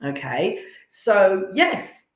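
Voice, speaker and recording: A woman's voice: mid-range voice, sing-song, extremely slow. A woman chatting casually as a podcast guest, blunt, irreverent and jokey. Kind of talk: casual chat. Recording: roomy, echoey sound.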